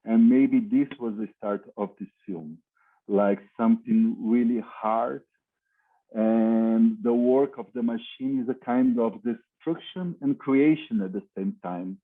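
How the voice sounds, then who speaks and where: Man, Brazilian Portuguese accent, non-native English, halting, many fillers, very slow, thin phone-like sound. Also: mid-range voice. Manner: halting, many pauses and fillers, searching for words. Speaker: film director. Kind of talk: festival Q&A answers. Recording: tinny video-call line.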